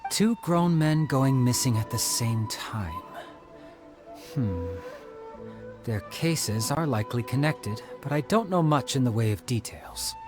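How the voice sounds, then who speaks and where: An actor playing a friendly, helpful young man in a game who shares leads, with an eager, expressive manner. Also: medium pitch, slow pace. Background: game music.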